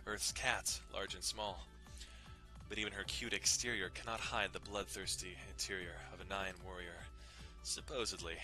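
A man's voice: lowish voice, bright, forceful tone, low-quality recording. A young man giving a dramatic reading of a visual novel, narrating and tossing in casual, irreverent asides, with music behind him. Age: young adult. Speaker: dramatic reader.

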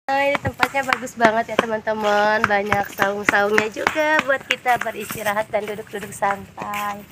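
A woman's voice: medium pitch, moderate pace. A woman in her thirties, a mother hosting a casual family vlog outdoors in a park, talking cheerfully to her viewers on a phone camera. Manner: cheerful, addresses viewers as friends. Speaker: vlog channel host and mother. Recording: noisy outdoor phone recording.

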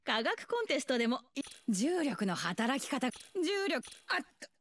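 Expressive, motherly game scientist woman. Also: high voice, unhurried, full character voice. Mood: playful chiding. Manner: playful, consoling, expressive. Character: quirky, motherly woman scientist, warm yet cheeky. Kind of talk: video game voice lines.

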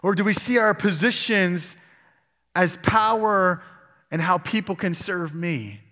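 A man preaching a sermon in a church sanctuary, his fairly high voice carrying with long reverb off the hall. He works through scripture aloud and puts questions to his listeners. The long echo of the room trails behind every phrase. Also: measured pace, pushed and bright. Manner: expository preaching, asks the congregation questions. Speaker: pastor.

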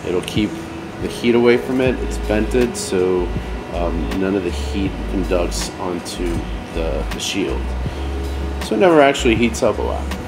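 Low-voiced man presenting a product, halting delivery. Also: big pitch swings, over music, low-quality recording. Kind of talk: casual product demonstration. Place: indoor set with brick wall, seated at table.

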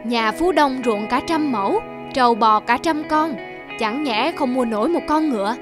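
A woman voicing a persuasive, boastful male horse seller in an animated folk tale, coaxing. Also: high voice, normal speed, over music, close to an everyday voice.

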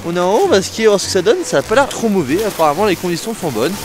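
A young man skiing and chatting outdoors on a mountain, excitable and shouting encouragement. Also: very fast. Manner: high-pitched, excitable shouting. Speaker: freeride skier. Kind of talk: casual chat while skiing. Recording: music playing underneath.

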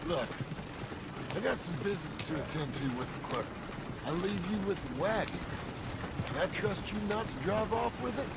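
An actor playing a gruff, weary, evasive American frontier father in a video game, talking curtly in folksy phrases. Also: high voice, expressive, normal speed, long pauses. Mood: firm, fatherly. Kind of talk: naturalistic video game acting. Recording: game dialogue over wagon and outdoor noise.

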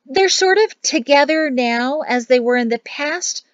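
Woman playing a high-voiced, chatty middle-aged villager. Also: animated, unhurried, no echo, muffled recording. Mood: earnest, chatty. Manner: explaining in a roundabout way. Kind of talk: acted audiobook dialogue.

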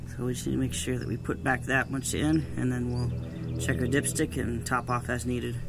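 A man giving a casual, unhurried how-to narration while working outdoors, heard through a camera set near the job. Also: mid-range voice, little pitch movement, gravelly, loud music behind the voice. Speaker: DIY mechanic demonstrating a repair. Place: outdoors in a driveway beside a jet ski. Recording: outdoor camera audio with background noise.

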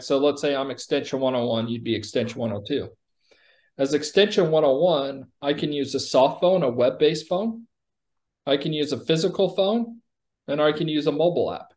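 Higher-pitched man demoing software. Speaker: company executive presenting software. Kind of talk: product demo, conversational explanation. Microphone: video call.

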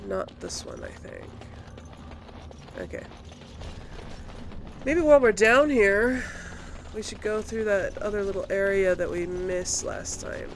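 A woman's voice: mid-range voice, very animated, slow pace, pauses often, noisy background. A woman giving casual gameplay commentary, thinking aloud and often questioning herself as she goes. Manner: musing, self-questioning. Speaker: let's play host.

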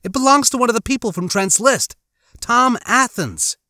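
A man's voice: very high-pitched, animated, normal speed, bright, forceful tone, no room sound, clean studio sound, voice pushed up, heavily acted. A man voicing an alert, earnest young woman survivor in an audiobook. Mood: excited, urgent.

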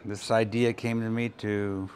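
A man in his seventies from California, calmly telling of an injury while seated indoors on a sofa, wearing a lapel mic. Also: lowish voice, unhurried, a little hiss or hum. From California, USA. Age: 70s. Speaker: pilgrim interviewee. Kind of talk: reflective storytelling.

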